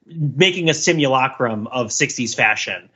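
A man's voice: medium pitch, expressive, normal speed, sharp tone, breathy edge. A man co-hosting a podcast, talking casually and analytically in a discursive way, thinking aloud with many asides. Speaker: podcast co-host.

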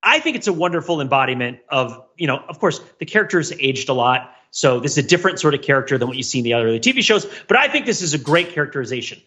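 A man's voice: a little high, big pitch swings, quick pace, few pauses, bright, forceful tone, muffled recording. A man hosting a casual pop-culture discussion podcast, talking emphatically and repeating phrases for effect. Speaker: podcast host.

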